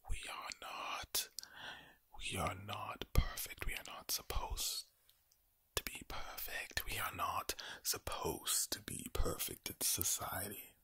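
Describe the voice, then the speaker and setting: A man giving a soothing ASMR pep talk in a low, gravelly whisper, close to the microphone, with deliberate, repetitive phrasing and a villain-like edge. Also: steady tone, pushed and bright, no echo. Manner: slow deliberate phrasing with repetition and rhetorical questions; villain-like, ominous sound. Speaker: ASMR video creator.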